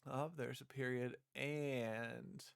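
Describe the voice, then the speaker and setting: A man chatting casually on a livestream, halting and muttering to himself as he works. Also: medium pitch, fairly even intonation, vocal fry, smooth. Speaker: streamer, visual novel creator. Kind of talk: casual livestream chat with read-aloud of own script.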